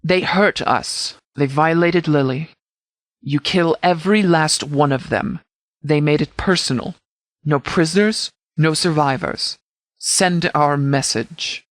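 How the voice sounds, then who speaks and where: An actor playing a commanding post-apocalyptic sheriff in a video game, speaking in a clipped, formal, authoritative way. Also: male voice, on the high side, takes it slowly, fairly bright tone, no room sound. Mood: grim, vengeful.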